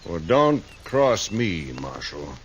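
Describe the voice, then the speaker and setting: An actor playing a shady, menacing gambler with a taunting manner in an old-time radio western. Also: male voice, low voice, sing-song, slow pace, lo-fi sound, full character voice. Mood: threatening. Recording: old radio drama with faint noise.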